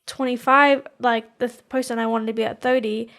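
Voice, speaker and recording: A woman's voice: on the high side, fairly even intonation, moderate pace, a bit bright. A woman in her twenties co-hosting a podcast, talking casually and rambling, searching for words with lots of fillers. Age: 20s. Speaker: podcast co-host. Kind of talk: casual chat.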